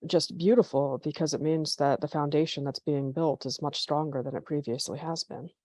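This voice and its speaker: Low-voiced enthusiastic woman host. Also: steady tone, moderate pace, warm tone, no echo. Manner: enthusiastic, many "you know" fillers. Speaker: podcast host and interviewer. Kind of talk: conversational interview.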